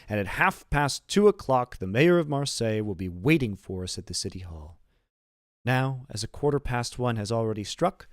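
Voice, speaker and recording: A man's voice: medium pitch, no echo, clean studio sound, close to an everyday voice. A man reading the part of a happy, earnest young sailor bridegroom in a storybook, eloquent and emphatic. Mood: proud explaining.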